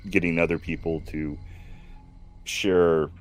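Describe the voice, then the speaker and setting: A man in his fifties hosting a casual stream chat, slow and reflective, full of fillers and restarts. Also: low-pitched, flat delivery. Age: 50s. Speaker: stream host.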